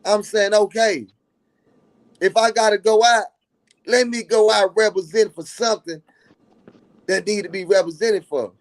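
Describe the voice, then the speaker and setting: A man's voice: high voice, fairly even intonation, moderate pace, long pauses. A man from Dallas, talking earnestly in a casual live stream and repeating himself for emphasis. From Dallas, Texas.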